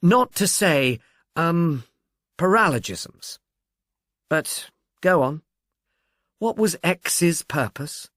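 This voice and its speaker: Man as an exasperated policeman, animated pitch. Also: very slow, pauses often, no echo, exaggerated voice. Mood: complaining. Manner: dry, complaining, precise. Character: exasperated, sceptical policeman, wearily grudging.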